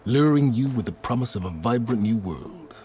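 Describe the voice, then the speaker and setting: A man playing a grave, cunning, condescending older scholar in a video game, speaking in a formal, measured, dryly sardonic way. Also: medium pitch, expressive, darkish tone, a little hiss or hum, close to an everyday voice. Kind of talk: cinematic video game acting.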